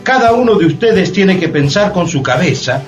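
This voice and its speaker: An actor playing a spirited schoolteacher in radio theatre, exhorting pupils in a didactic way with rhetorical questions. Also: male voice, sits high, lively intonation, moderate pace, soft background music. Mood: indignant, exhorting.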